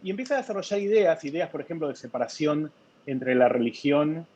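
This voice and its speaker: Man, husky, hesitant interview answers, dry lo-fi sound. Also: on the high side, brisk, darkish tone. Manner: thoughtful, many hesitations and repeated words. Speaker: novelist being interviewed. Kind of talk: conversational interview.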